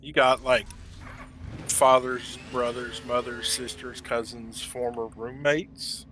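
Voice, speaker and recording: A man's voice: mid-range voice, little pitch movement, takes it slowly, crowd noise. A middle-aged man trading dry, teasing banter with friends during an online game. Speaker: gamer chatting with guildmates. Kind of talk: casual gaming banter.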